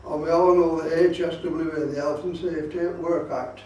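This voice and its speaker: A man's voice: a little high, steady tone, brisk, dark tone, a little hiss or hum. A man giving an informal hands-on club talk, explaining things and repeating his safety warnings insistently. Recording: very echoey room, distant microphone.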